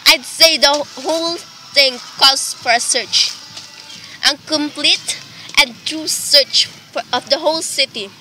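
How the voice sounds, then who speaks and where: A woman's voice: high-pitched, slow pace, over music, poor audio. A woman playing an anxious, demanding invalid wife in a radio play, fretful, pleading and dramatic. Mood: insistent, worried.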